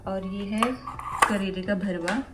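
A woman's voice: medium pitch, level tone, takes it slowly. A young woman casually chatting to camera in a daily vlog, giggly as she shows off her lunch. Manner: chatty, giggly, talks to camera while showing food. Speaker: vlogger. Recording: music playing behind.

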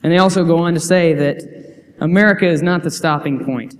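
A man's voice: a little high, a little fast, a little hiss or hum. Young man preaching in an echoing church. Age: young adult. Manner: earnest, reads quotes aloud, frequent restarts. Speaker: youth preacher. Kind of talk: sermon with scripture reading. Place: church sanctuary. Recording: church hall reverb.

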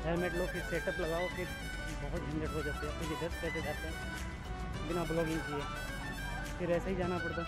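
A man's voice: fairly high pitch, fairly even intonation, fairly quick, pauses often. A young man, chatting casually and informally to viewers as a travel vlogger. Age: 20s. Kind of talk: casual vlog chat to camera. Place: outdoors on busy streets and riverside. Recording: outdoor phone vlog with loud background music.

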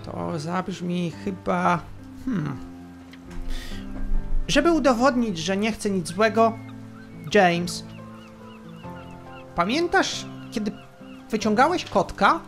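A game master voicing a small, calm, ragged creature that speaks slowly and haltingly in a tabletop game. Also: mid-range voice, soft background music, heavily acted. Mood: calm, earnest. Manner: slow, halting, hums before answering. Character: small wrinkled long-nosed ragged creature, calm, hesitant, childlike.

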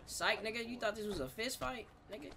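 A man's voice: high voice, a little fast, gravelly, sharp tone, a little hiss or hum. A man gaming in online roleplay as a gang member, brash and confrontational, swearing heavily in street slang. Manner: brash, confrontational, profanity-heavy.

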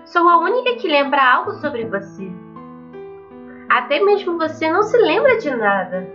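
A woman's voice: high voice, very animated, normal speed, pushed and bright, highs cut off, faint music behind, pitched above the performer's own voice, exaggerated voice. A woman voicing a sweet, cheerful, wistful schoolgirl character from an anime visual novel. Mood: wistful, tired.

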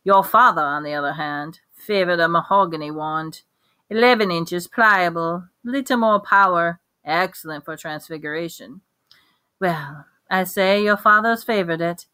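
A woman reading aloud voices an intense, eccentric old male wandmaker with a lilting, drawn-out delivery and sudden sharp emphasis. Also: on the low side, no room sound. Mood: musing.

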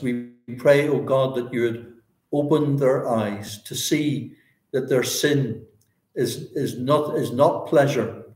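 A man in his sixties with a Northern Irish accent, a pastor praying aloud in a solemn, earnest way, pausing phrase by phrase. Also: medium pitch, a little mellow. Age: 60s. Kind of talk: extempore public prayer, church service. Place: indoors, small bare-walled room. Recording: echoey room.

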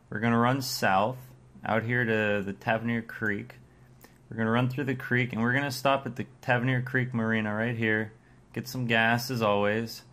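A young man hosting a casual fishing vlog from a small boat, laid-back and laughing often, recorded on an action camera. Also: medium pitch. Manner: laid-back, laughs often, excitable when fish bite. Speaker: fishing channel host. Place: small boat on the open sea. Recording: noisy outdoor boat audio.

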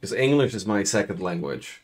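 A man's voice: fairly low pitch, expressive, normal speed. A Dutch man with a Dutch accent, narrating a tabletop game as host and game master and chatting casually while he plays, laid-back and swearing casually. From Netherlands. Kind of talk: casual gameplay commentary.

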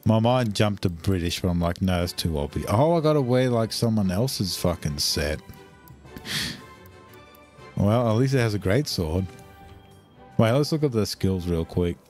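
A young Australian man swearing freely and reacting loudly as he chats while streaming a game. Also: fairly low pitch, lively intonation, a little slow, long pauses, faint music behind. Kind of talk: casual live game commentary.

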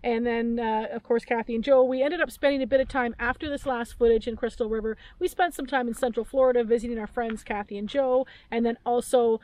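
A woman in her fifties from Canada talks in a slightly high voice, rambling and giggly with plenty of "um" and "uh". She sits outdoors by a camper van at dusk, picked up by a camera a few meters off. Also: steady tone, fairly quick, hardly any pauses, a little mellow, slight background noise, rough recording. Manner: chatty, giggly, many fillers. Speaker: vlog co-host. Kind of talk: casual chat.

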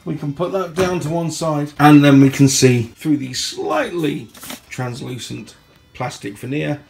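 Male reviewer from the UK, sore throat, gravelly, chatty, on a handheld phone at home. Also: fairly high pitch, expressive, unhurried, a little mellow, soft background music. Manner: chatty and enthusiastic, clears throat. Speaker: product reviewer. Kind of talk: casual unboxing review. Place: indoor home room. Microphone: phone held in hand.

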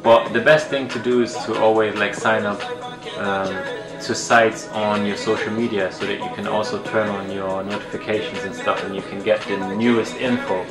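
A man's voice: on the low side, fairly even intonation, normal speed. Laid-back man in an echoey room. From Germany. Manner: laid-back, chatty, uses slang. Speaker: sneaker vlogger. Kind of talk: casual talk to camera. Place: plain indoor room at a desk. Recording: roomy echo with music behind.

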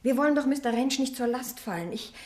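Self-effacing spinster niece for radio play. Highish female voice, timid, hesitant delivery. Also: lively intonation, quick pace. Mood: flustered, reluctant. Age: middle-aged. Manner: shy, hesitant, modest. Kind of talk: German radio play acting.

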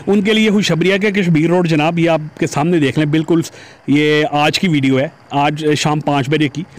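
A man chatting to viewers as he rides a motorbike through busy city streets, recorded on a helmet-mounted camera. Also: fairly high pitch. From Pakistan. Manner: chatty, addresses viewers as "janab". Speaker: motovlogger. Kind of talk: casual commentary while riding. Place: outdoors on a busy city road, riding a motorbike.

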